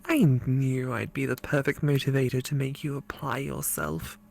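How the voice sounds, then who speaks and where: A woman's voice: very deep voice, expressive, normal speed, vocal fry, heavily acted. Woman voicing a teasing, studious ghost classmate. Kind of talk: visual novel character read aloud for a let's play.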